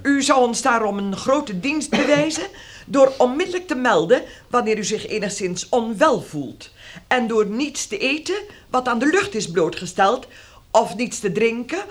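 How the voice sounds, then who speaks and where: A dutiful female nurse in a radio play. Her fairly high voice moves animatedly as she gives polite, careful, formal health instructions. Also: lively intonation, normal speed. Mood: earnest, dutiful. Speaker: actress in a radio drama. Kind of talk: radio drama acting, public instructions.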